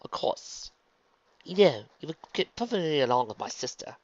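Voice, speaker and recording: A reserved pony princess, played by a man for a storybook reading, slightly higher in pitch and stiffly self-conscious. She sounds proud but socially awkward, and the recording is a little dull. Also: sing-song, normal speed, dry recording.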